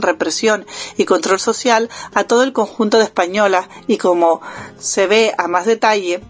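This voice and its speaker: A woman reading her prepared radio column in a measured, academic way, with the odd small self-correction. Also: mid-range voice.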